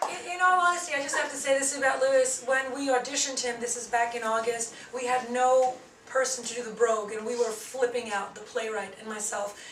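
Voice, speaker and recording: A woman, a theater director, talking excitedly and quickly, repeating herself. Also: fairly high pitch. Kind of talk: casual interview remarks. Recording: echoey room from far off, noisy.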